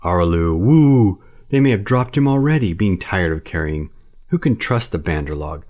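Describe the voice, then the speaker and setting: A man's voice: sits low, expressive, moderate pace, darkish tone. A man voicing a clumsy old male bear who wails and laments over a lost cub. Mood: wailing. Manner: wailing, lamenting.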